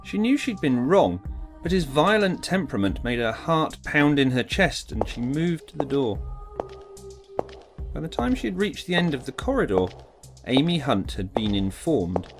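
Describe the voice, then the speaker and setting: A man's voice: mid-range voice, very animated, normal speed, music in the background. A man giving a scripted, dramatic narration of an audiobook story.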